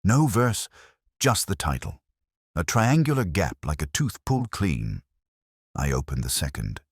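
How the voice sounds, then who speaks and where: A man with a very deep voice narrates a detective story in the first person. His delivery is measured and literary, with plenty of rise and fall in the phrasing. The sound is dry, with hardly any room around it. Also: big pitch swings, breathy edge, no echo.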